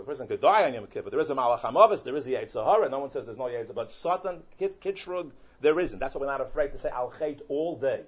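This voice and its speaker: A man delivers an animated Torah sermon as a rabbi, peppering his English with Hebrew and Yiddish terms. His voice sits a bit high with a husky edge. The recording is dull and lo-fi.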